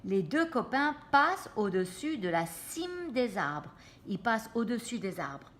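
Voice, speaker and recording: A woman reads a picture book to children at an unhurried pace. Her pitch is lively and varied, and she often steps out of the story to explain and check in. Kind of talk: animated read-aloud with asides to children.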